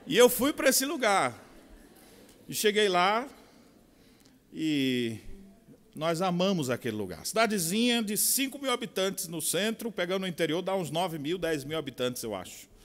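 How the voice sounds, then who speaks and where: A middle-aged man with a Bahian Portuguese accent, preaching a sermon with expressive swings from quiet asides to loud, slow emphasis. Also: sits high. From Brazil. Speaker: pastor. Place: large conference hall. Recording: long hall reverb.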